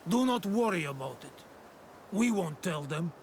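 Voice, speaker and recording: A man's voice: high-pitched, lively intonation, measured pace, slight background noise. A man playing a proud, loyal native island warrior and ally in a video game. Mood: reassuring. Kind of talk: video game cutscene acting.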